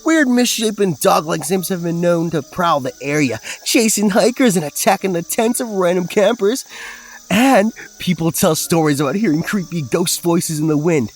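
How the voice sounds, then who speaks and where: Brash young male ghost-hunt host played by a man: high voice, animated, crude slang, audio drama. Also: normal speed, soft background music. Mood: hyped, showy. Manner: cocky, slangy, hyped-up vlogger patter.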